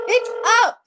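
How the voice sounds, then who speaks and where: A woman playing an anxious, demanding, self-pitying invalid wife in old-time radio suspense style, stammering nervously and repeating herself. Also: noisy background. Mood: panicked, distraught.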